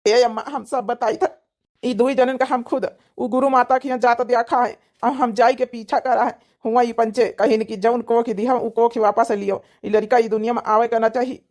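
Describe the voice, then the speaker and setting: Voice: medium pitch, steady tone, normal speed, a little mellow, close to an everyday voice. A performer voicing a furious, accusing village man in an Awadhi cartoon comedy, scolding in a melodramatic way. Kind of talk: dramatic comedy cartoon dubbing.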